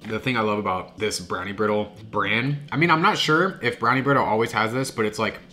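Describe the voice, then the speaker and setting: A man's voice: lowish voice, brisk. A man in his thirties with a nasal, stuffed-up voice from a cold, chatting casually to camera while trying snacks in his kitchen. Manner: chatty, animated, with frequent restarts. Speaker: food vlogger. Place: home kitchen, indoors.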